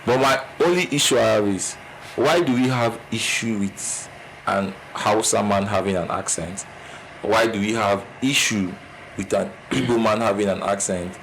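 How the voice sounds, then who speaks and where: A man's voice: lowish voice, normal speed, a bit bright, a little hiss or hum, low-quality recording. A man with a Nigerian accent, co-hosting a casual podcast chat and repeating phrases as he builds his point. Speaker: podcast co-host.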